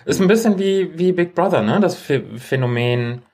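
A man's voice: a little high, expressive, moderate pace, darkish tone. Chatty male podcast co-host. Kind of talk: casual humorous podcast chat.

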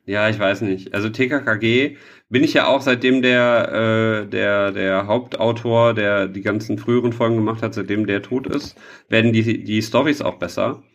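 A man's voice: fairly low pitch, fairly even intonation, moderate pace. A man chatting casually as a podcast co-host, often searching for words and rambling a little.

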